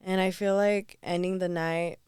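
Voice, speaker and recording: A woman in her twenties, a guest on a podcast, chatting casually in a bubbly, animated way. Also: lowish voice, moderate pace, clean tone, no echo, clean studio sound. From Southern California. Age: 20s. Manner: bubbly, animated, laughs often.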